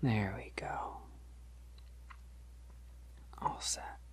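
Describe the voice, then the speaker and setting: A man playing a polite, gentle, shy vampire in an ASMR roleplay, speaking softly and hesitantly to reassure the listener, often near a whisper. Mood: gentle, reassuring. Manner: hesitant, reassuring, halting with soft chuckles.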